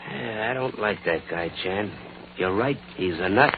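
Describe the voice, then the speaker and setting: Tinny old-radio gruff police lieutenant. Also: male voice, lowish voice, expressive, measured pace. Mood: blunt, suspicious. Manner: blunt, slangy tough-cop talk. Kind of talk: old-time radio detective acting. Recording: tinny, hissy vintage radio recording.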